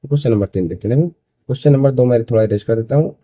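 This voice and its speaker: Male maths tutor, early 20s, very mellow dark voice, dry recording, repetitive question-and-answer explaining. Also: medium pitch, fast talker, no echo. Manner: repeats phrases, asks and answers own questions. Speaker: maths teacher. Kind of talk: step-by-step school maths lesson.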